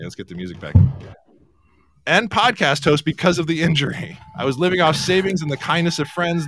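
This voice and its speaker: A man's voice: fairly high pitch, noisy background. A man hosting a casual live podcast chat, tossing in wry, incredulous asides. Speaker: podcast host.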